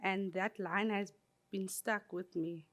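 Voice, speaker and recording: Woman giving a measured presentation. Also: medium pitch, steady tone. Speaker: conference presenter. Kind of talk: prepared presentation.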